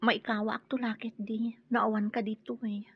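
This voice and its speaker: A soft-spoken, affectionate character in a radio drama. Her voice sits slightly high. The recording sounds a bit dull and lo-fi. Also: little pitch movement, normal speed, slightly rough, no room sound. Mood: tender. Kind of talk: Ilocano radio drama acting.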